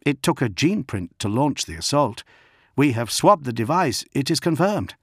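A man voicing a deferential, anxious lieutenant in an audiobook, urgent, hurried and formal. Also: medium pitch, big pitch swings, husky, no echo, clean studio sound, voice pushed up. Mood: grim reporting. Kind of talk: dramatic audiobook character.

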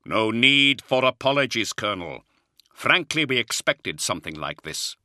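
A stern warrior lord, voiced by a male narrator, gives orders in a commanding, forceful voice. His delivery rises and falls freely, with a bright, hard edge. It plays as the authoritative leader of an audiobook battle. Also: medium pitch, very animated, normal speed, no room sound, clean studio sound, voice pushed up.

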